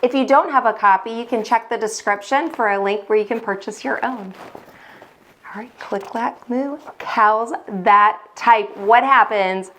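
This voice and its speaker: A woman hosting a children's storytime, reading expressively with deliberate emphasis, like a teacher. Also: on the high side, a bit bright. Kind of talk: expressive read-aloud storytelling for young children.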